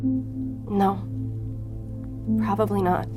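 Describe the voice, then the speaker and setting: A woman's voice: mid-range voice, little pitch movement, very slow, loud music behind the voice. A woman playing a determined, guilt-ridden federal investigator in an audio drama, earnest and resolute in manner. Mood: shaken. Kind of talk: audio fiction acting.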